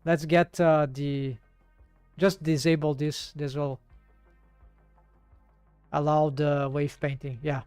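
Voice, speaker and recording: Slow, halting Brazilian man, high voice. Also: little pitch movement, a little mellow, smooth, no room sound, faint music behind. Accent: Brazilian Portuguese. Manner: thinking aloud, halting, many fillers and restarts. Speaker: 3D rigging streamer. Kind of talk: live tutorial while working.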